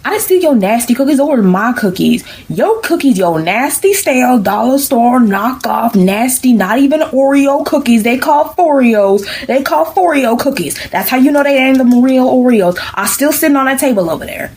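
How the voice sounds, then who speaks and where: Woman doing a scornful, sassy schoolboy, raised pitch. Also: lively intonation, normal speed, few pauses, a little mellow. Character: scornful schoolboy sneering at a classmate's cheap snacks. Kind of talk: comic storytelling.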